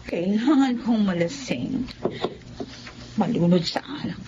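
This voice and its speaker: A woman's voice: mid-range voice, animated, slow, lo-fi sound, background noise. A Filipino actress playing a guilt-ridden, lovesick young woman schemer in a radio drama, tearful and pleading with melodrama. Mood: drunken remorse.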